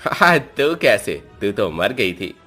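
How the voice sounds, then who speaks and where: A man's voice: fairly low pitch, big pitch swings, slow pace, pushed and bright, full character voice. A man voicing an arrogant, boastful yet cowardly fake magician in a horror story, with theatrical delivery. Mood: frightened. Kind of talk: Hindi horror storybook character voice. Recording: music behind.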